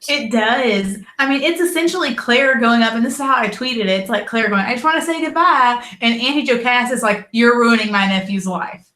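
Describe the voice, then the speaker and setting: A woman's voice: on the high side, a little fast, hardly any pauses, sharp tone. Southern woman co-host, chatty review talk, roomy echo around her voice. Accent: Southern American. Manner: chatty, acts out quotes, frequent "like" and "you know". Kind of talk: casual review chat.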